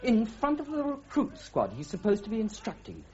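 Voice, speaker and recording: A man's voice: sits high, wildly swooping pitch, moderate pace, a little mellow. A man playing an outspoken, sardonic British army officer and loyal friend, with an English accent, in a radio drama. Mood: amused, mocking. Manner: sardonic, frank. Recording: muffled radio recording with background noise.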